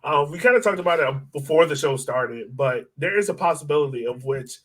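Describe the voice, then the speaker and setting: A man's voice: a little high, expressive, brisk, breathy edge. A man co-hosting a soccer podcast in casual, slangy, conversational sports chat.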